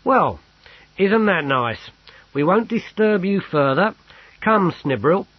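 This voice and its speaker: A man voicing a practical, patient army sergeant in an audiobook story, wary and deliberate. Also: mid-range voice, animated, breathy edge, no room sound, lo-fi sound. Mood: loud, deliberate.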